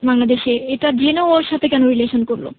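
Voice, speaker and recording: A woman's voice: high-pitched, brisk, phone-quality sound. A young woman with a Bangladeshi accent, a guest telling her personal story conversationally and quoting reported dialogue.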